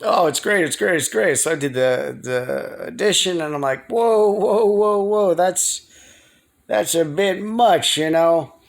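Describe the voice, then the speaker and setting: An American man speaks in a somewhat high-set voice. He rambles casually as a video blogger, hesitating often. He repeats words and circles back to what he was saying. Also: creaky. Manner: halting, repetitive, trailing off and circling back.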